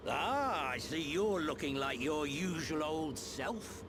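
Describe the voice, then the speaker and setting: A man's voice: a little high, animated, slow pace. An actor playing a cocky, casual male adventurer with a British accent in a video game cutscene, over background noise. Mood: wry, offhand.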